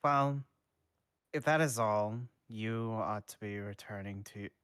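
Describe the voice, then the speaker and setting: Man reading as a measured, low-voiced formal schoolteacher. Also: a bit bright, clear, resonant tone, no room sound, clean studio sound. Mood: mildly stern. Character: adult male schoolteacher, stern but caring, exasperated.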